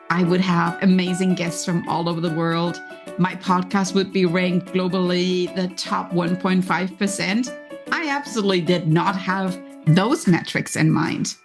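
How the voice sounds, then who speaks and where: A woman with a German accent hosting a solo motivational podcast, talking conversationally and encouragingly, often voicing inner thoughts. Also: lowish voice. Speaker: podcast host and coach.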